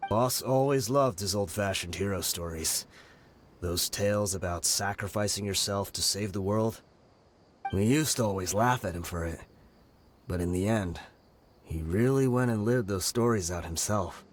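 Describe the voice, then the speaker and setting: A man's voice: low voice, slight background noise, lightly acted. A man playing a brooding mercenary leader. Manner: brooding, confessional. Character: weary male mercenary gang leader.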